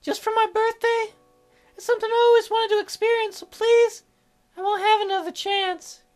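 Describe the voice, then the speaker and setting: A man's voice: very high-pitched, level tone, normal speed, soft background music, pitched above the performer's own voice. A man voicing a teasing, wistful, stubborn young sick girl in a visual novel, with a hesitant, pleading way of talking. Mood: shy, pleading.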